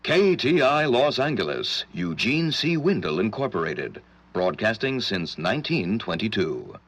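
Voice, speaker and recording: An actor playing a formal American radio station announcer in the style of the 1940s. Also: male voice, lowish voice, sing-song, a little slow, a bit bright, breathy edge, soft background music, exaggerated voice. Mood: formal, showy.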